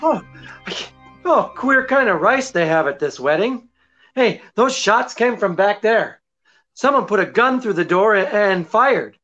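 A man's voice: high-pitched, lively intonation, unhurried, pushed and bright, exaggerated voice. A middle-aged man playing a genial, unflappable detective in old-time radio mystery style, with hesitant, wry interjections. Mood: alert, reassuring. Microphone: video call. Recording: dull lo-fi sound, music behind.

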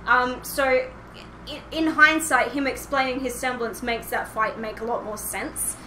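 A young woman with a fairly high voice chats casually as a podcast host. She rambles and hesitates through her points. The room echoes clearly and there is obvious background noise. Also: steady tone, a little slow, bright, forceful tone. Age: young adult. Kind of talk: casual chat, fan discussion. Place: small home room indoors, online call. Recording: roomy, noisy headset recording.

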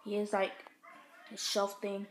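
A woman's voice: medium pitch, monotone, slow. A woman with an English accent giving a casual tour of her home, talking in a chatty, unsure way with lots of fillers while filming on a handheld phone indoors. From England. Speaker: vlogger giving a house tour. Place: home kitchen and living room, indoors. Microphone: handheld phone camera while walking. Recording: noisy phone audio with handling clicks.

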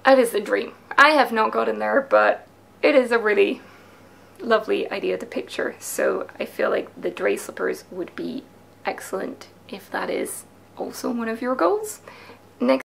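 Creaky-voiced woman chatting about knitting. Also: medium pitch, animated, normal speed, fairly bright tone. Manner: chatty and enthusiastic, explains techniques step by step with hand gestures. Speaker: knitwear designer presenting her patterns. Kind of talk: casual explanatory video talk. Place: small home studio with a craft table.

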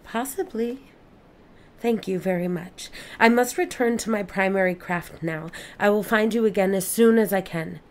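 A woman's voice: mid-range voice, moderate pace, a little mellow, close to an everyday voice. Courteous, formal woman interviewer, acted. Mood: polite, measured. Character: polite, patient female interviewer gathering people's memories. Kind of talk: audio drama acting.

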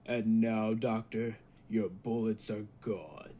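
Man acting a slow, menacing robot mastermind. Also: fairly low pitch, steady tone, heavy background noise. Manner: deliberate, menacing, grandiose. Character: cold, megalomaniac humanlike male robot bent on world mastery. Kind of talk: old-time radio drama acting.

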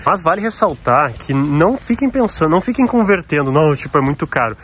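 Brasileiro animado mostrando preços. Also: male voice, on the high side, sing-song, fast talker. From Brazil. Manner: chatty, jokey, lists prices out loud. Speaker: travel vlogger. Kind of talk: casual store walkthrough vlog. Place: large indoor department store.

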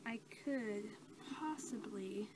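A woman's voice: mid-range voice, slow pace. Young woman, ASMR roleplay, noisy recording. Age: young adult. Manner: casual, rambling, hesitant. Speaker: ASMR roleplayer as a scientist examining a creature. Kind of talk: ASMR, soft-spoken improvised roleplay. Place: small cluttered room indoors. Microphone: camera mic at about arm's length. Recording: clearly noisy amateur camera audio.

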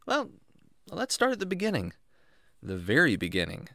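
A man's voice: on the high side, normal speed, gravelly, no room sound, studio quality, exaggerated voice. A man playing a kindly, enthusiastic jungle man who teaches patiently and expressively in a children's radio drama. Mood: gentle, reflective. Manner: expressive, reassuring, explanatory.

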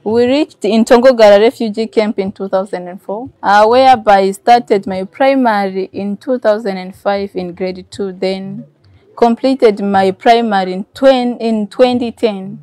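A woman's voice: medium pitch, a little slow, mellow, no room sound, low-quality recording. Interviewee: woman, twenties, recounting her life plainly, mid-range voice, little room sound. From Burundi. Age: 20s. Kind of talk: personal testimony in an interview. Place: outdoors, outside a house in a camp.

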